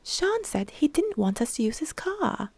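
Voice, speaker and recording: A woman's voice: high voice, very animated, measured pace, a little mellow, voice pushed up, heavily acted. A woman voicing a nervous, fretful woman baker who stammers and worries.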